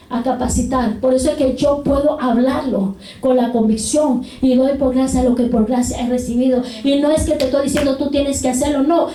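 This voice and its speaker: A woman's voice: sits high, mellow, roomy sound, far from the mic, poor audio. A woman preaching a sermon with fervent repetition of praise phrases. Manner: fervent, repeats hallelujah and glory to God.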